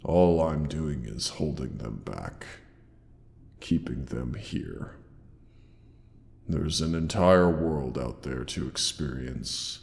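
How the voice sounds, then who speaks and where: A man playing a huge male dragon in a roleplay audio drama, grandiose yet tender and protective, with gravelly, theatrical, old-fashioned formal speech. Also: a little mellow, full character voice. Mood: sorrowful, subdued. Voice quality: very deep, gravelly creaking voice. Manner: slow, theatrical, archaic formal phrasing with pauses. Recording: long cavernous reverb.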